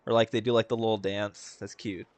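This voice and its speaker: A man's voice: fairly low pitch, little pitch movement, a little fast, no room sound. Young male streamer; loose, jokey, sarcastic live commentary. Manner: joking, meme slang, sarcastic. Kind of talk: casual live commentary over a game.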